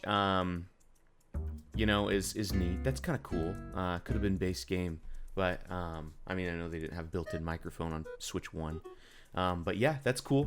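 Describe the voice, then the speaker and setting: Casting: male gaming creator, lowish voice, off-the-cuff ranting with emphatic disbelief, music underneath. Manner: exasperated, incredulous, slangy and emphatic. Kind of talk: casual unscripted rant commentary on gaming news.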